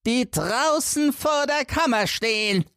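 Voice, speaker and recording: A man voicing a boastful little tailor king from a fairy tale, commanding and drawn-out as he talks in his sleep. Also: lively intonation, no echo, studio quality, heavily acted. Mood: boastful, threatening. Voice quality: bright, high-pitched put-on voice.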